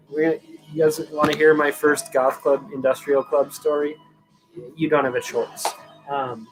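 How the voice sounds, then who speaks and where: A man in his thirties from California with a voice of ordinary middle pitch, chatting through a live stream. He swears freely and rambles as he tells his story and answers the chat, with faint music playing behind him. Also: rough recording. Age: 30s.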